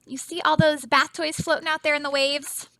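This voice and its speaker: A woman teacher reading a picture book aloud to children, animated and dramatic, with explanatory asides and questions to the listeners. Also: sits high, moderate pace, no room sound.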